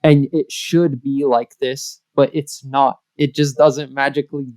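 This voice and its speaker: A man co-hosting a podcast, making emphatic points in a playful casual chat, often rushing his words. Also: mid-range voice, level tone, a little mellow, no echo. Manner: emphatic, speeds up in bursts, playful. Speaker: podcast co-host.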